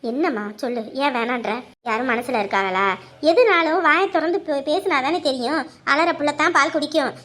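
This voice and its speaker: A woman doing a low, gentle family elder. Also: a little fast. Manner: low, gentle, coaxing with proverbs.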